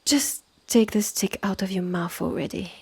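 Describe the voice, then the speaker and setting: Creaky, haughty French-accented witch, acted by a woman. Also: medium pitch. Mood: exasperated. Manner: condescending, deliberate, clipped phrases with exasperated sighs. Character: stern, haughty witch innkeeper, sardonic and impatient. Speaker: roleplay audio performer. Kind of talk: scripted second-person audio roleplay, close and measured.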